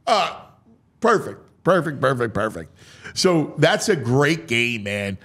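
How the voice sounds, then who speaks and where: A man hosting a sports talk show, mixing dramatic, drawn-out delivery with casual, offhand asides. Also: mid-range voice, sing-song, a bit bright, slightly rough.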